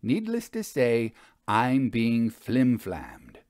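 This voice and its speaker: A proud, theatrical male magician from a storybook, acted by a man. His speech is florid and formal, broken by coy hesitations. The pitch moves widely and the sound is dry. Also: huge pitch swings, extremely slow, a little mellow, no echo, full character voice. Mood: theatrical, aggrieved. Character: famous male stage magician, proud, theatrical, evasively apologetic. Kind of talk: dramatized audiobook storytelling.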